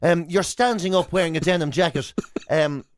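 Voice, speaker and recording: Higher-voiced Irish man, casual comic banter. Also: normal speed, dry recording, clean studio sound. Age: 40s. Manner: rambling, self-mocking storytelling. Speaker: radio show co-host.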